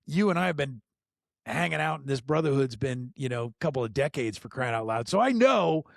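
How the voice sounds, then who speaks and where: A middle-aged man hosting a podcast in casual chat, enthusiastic, laughing often and filling pauses with lots of ums and uhs. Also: medium pitch, expressive, creaky, no room sound, clean studio sound. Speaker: podcast host.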